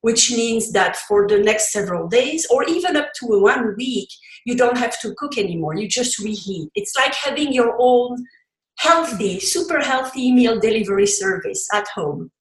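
A woman's voice: on the high side, expressive, moderate pace, echoey room, poor audio. A Belgian woman whose first language is French, speaking English with a French accent as a podcast guest, explaining at length with fillers and restarts. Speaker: podcast guest, cookbook author. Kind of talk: conversational interview.

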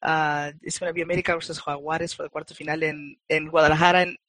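A man's voice: on the high side, little pitch movement, fast, a bit bright, no room sound. A man co-hosting a football podcast, giving upbeat match updates in casual chat. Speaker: podcast co-host.

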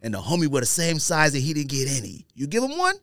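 A man's voice: on the high side, wildly swooping pitch, fast, a bit bright, no echo, studio quality. A man hosting a casual podcast debate, talking in slang and repeating himself emphatically for effect. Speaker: podcast host. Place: podcast studio.

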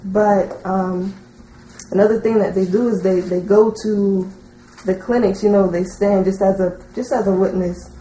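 A woman in the audience at a teaching session, putting a long, unscripted question in a hesitant, halting way full of filler likes. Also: medium pitch, steady tone, mellow. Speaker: audience member asking a question. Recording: roomy echo, faint background noise.

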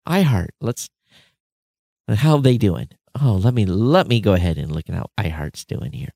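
A man's voice: fairly low pitch, lively intonation, normal speed, creaky, mellow, no room sound. A man co-hosting a podcast, chatting casually and reading out figures off the cuff. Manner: chuckles often, reads out numbers off the cuff. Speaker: podcast co-host. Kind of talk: casual chat about industry figures.

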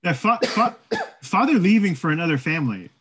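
A man's voice: high-pitched, big pitch swings, brisk, bright, forceful tone, husky. A man co-hosting a podcast, talking casually about folklore and history in an informal way, often checking in with "right?". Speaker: podcast co-host.

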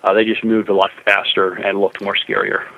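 A man co-hosting a casual science fiction podcast, speaking in a hesitant, halting way on a dull, lo-fi recording. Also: fairly low pitch, little pitch movement, vocal fry, a little hiss or hum. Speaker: podcast co-host. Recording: dull, lo-fi voice channel.

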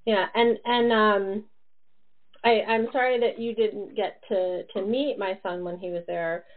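A woman's voice: mid-range voice, fairly even intonation, unhurried, a little hiss or hum. A woman in her forties hosting a casual podcast chat, talking loosely with lots of fillers and laughter. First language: English. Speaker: podcast host. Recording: tinny phone-like line.

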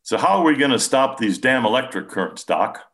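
Casting note: man voicing a gruff, stoic dad, raised and strained, near tears. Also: mid-range voice, very animated, fairly quick, fairly bright tone, voice pushed up. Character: gruff middle-aged father, stoic and tearful.

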